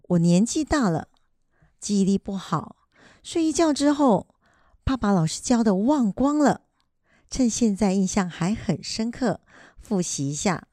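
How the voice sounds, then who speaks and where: A woman acting an earnest, studious elderly grandmother in a radio drama, with a Taiwanese accent and a gentle, hesitant manner. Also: mid-range voice, no echo, studio quality.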